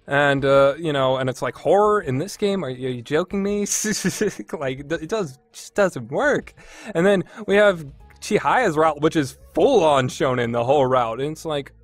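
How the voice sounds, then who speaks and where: A man's voice: a little high, expressive, measured pace, no echo, soft background music. A man giving a casual, opinionated review as a podcast host, with a sarcastic, exasperated manner.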